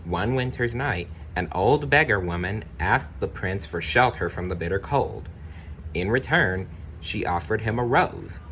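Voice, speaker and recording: Low-voiced, slow-paced man reading a storybook. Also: slight background noise. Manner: deliberate, expressive narration with brief personal asides. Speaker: storybook reader. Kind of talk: children's read-aloud storytelling.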